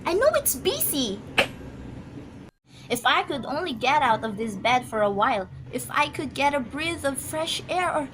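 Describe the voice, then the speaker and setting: A woman's voice: sits high, sing-song, moderate pace, a bit bright. A woman acting an anxious, demanding bedridden wife in an amateur radio drama, insistent and agitated. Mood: exasperated panic. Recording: noisy amateur home recording.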